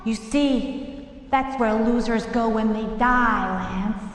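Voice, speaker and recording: An actress playing a sweet, flirty game show assistant in a video game. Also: female voice, fairly high pitch, slow pace. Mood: sweet, breezy. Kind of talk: showy TV co-presenting in a game.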